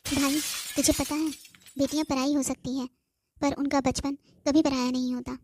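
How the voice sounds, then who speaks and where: Cartoon daughter, young woman actor, very high voice, narrow melody, earnest and emotional pleading. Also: level tone, normal speed, noisy background, close to an everyday voice. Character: devoted young daughter, pleading, sentimental about home. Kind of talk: amateur cartoon voice acting.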